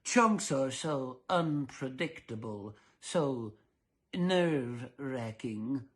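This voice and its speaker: A man voicing a dull, fretful storybook mother who speaks in a fussy, drawn-out way. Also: mid-range voice, lively intonation. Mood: nervous.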